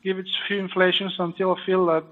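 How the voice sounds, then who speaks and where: Halting French-accented man on a tinny line. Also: high-pitched, monotone. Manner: explanatory, halting with many fillers. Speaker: surgeon performing a live procedure. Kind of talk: live procedure commentary.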